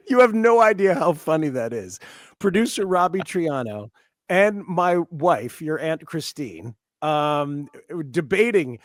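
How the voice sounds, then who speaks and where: A man's voice: fairly high pitch, sing-song, slow, no echo, clean studio sound. A man in his fifties with an American accent, hosting a casual sports radio interview in a conversational way. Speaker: sports talk radio host.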